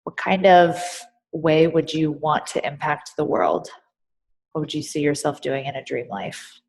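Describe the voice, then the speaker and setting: A woman with a somewhat low voice coaches a guest on her podcast. She asks probing questions and keeps her tone encouraging. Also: unhurried, vocal fry, a little mellow. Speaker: podcast host and business coach. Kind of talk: coaching conversation.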